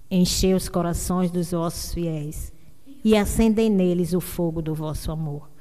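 A woman's voice: on the low side, warm tone. Solemn woman leading radio prayers. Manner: slow, solemn, intoning. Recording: long reverb.

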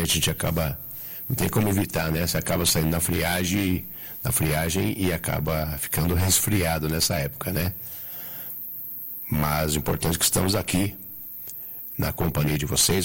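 A male radio host with a Brazilian Portuguese accent, hoarse from a cold, chatting in a folksy way with listeners and reading sponsor messages. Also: a bass voice. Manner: folksy, repeatedly checking in with listeners. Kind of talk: chatty live local radio hosting with sponsor reads.

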